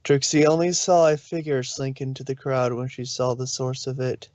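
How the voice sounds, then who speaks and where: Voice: mid-range voice, steady tone, moderate pace, darkish tone, dry recording. A narrator reading a story aloud in a storybook style, sometimes stumbling over a word and correcting it. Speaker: narrator, fan fiction reader.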